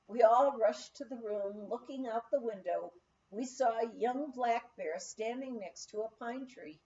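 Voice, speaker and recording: A woman reading a memoir aloud as an expressive storyteller, in the manner of an audiobook narrator. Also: a little high, a little slow, muffled recording. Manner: storytelling, acts out quoted dialogue. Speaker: audiobook reader.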